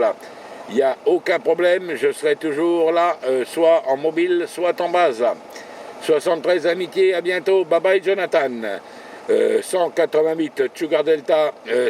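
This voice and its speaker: A man with a slightly high voice makes casual ham radio contacts from a car, with faint vehicle noise around him. He rattles off call signs and jargon and often repeats his words. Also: a little mellow. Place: inside a car, mobile radio station.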